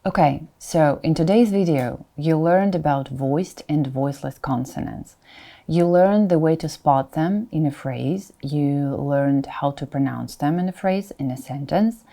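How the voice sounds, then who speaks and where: A woman teaching a pronunciation lesson in a soft-edged, mellow voice on the lower side. She takes her time, modelling example words and repeating them so learners can follow. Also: a little slow. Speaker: Russian language teacher.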